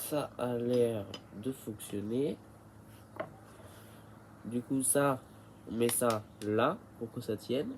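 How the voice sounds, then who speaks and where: A man's voice: medium pitch, takes it slowly, long pauses, noisy background. Man with a cold, hesitant and rambling. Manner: hesitant and rambling, many fillers. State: has a head cold. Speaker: bike repair tutorial maker. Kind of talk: casual how-to explanation.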